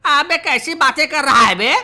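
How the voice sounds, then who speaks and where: Woman acting a high-pitched flustered cartoon schoolmaster. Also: moderate pace, pushed and bright, a little hiss or hum. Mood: flustered, scolding. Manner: exasperated, stammering when flustered. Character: flustered, scolding male schoolteacher, easily exasperated. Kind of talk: Hindi comedy cartoon dialogue.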